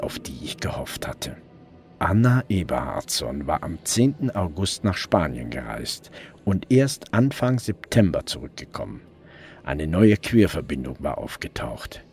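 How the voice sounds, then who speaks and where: Very deep brooding detective narrator. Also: male voice, very animated, measured pace, a little mellow, heavily acted. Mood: brooding, measured. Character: brooding male detective narrating his investigation. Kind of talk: scripted first-person crime drama narration. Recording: prominent music bed behind narration.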